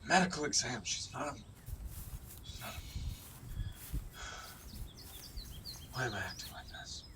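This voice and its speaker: A man's voice: medium pitch, animated, slow pace, background noise. A man playing a tense, defensive, intense physician in a science fiction radio drama. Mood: agitated, self-questioning. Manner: intense, easily irritated. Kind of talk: sci-fi radio drama acting. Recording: slightly distant, music behind. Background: music.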